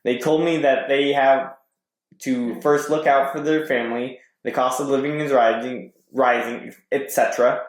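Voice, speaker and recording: A man's voice: medium pitch, steady tone, moderate pace. A man guesting on a podcast, reading posts aloud and chatting casually with some hesitant stumbles. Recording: roomy echo.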